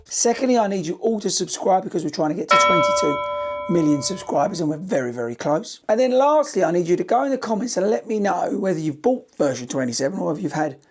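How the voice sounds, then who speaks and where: A British man, a running coach, talking casually to camera in a shoe review while nearly losing his voice, croaky and hoarse. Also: high-pitched, very animated, fast, music in the background. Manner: chatty, cheeky, direct to viewers.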